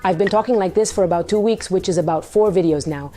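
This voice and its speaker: Hoarse woman vlogger, mellow voice. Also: lowish voice, fast, faint music behind. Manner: candid, dramatic retelling with quoted dialogue. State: hoarse from partying, voice partly lost. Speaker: vlogger hosting her own storytime channel. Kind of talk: casual confessional storytelling to camera.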